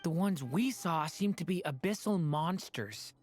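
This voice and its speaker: Gentle, earnest young boy. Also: a little high, animated, a little slow, soft background music. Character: polite, gentle, earnest youth. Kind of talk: video game voice acting.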